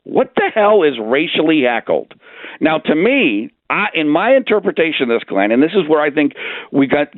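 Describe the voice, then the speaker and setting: Man, political commentator, emphatic, argumentative, lively intonation, muffled lo-fi audio with little room sound. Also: fairly high pitch, dry recording. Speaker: guest commentator on a radio show. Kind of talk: opinionated political talk.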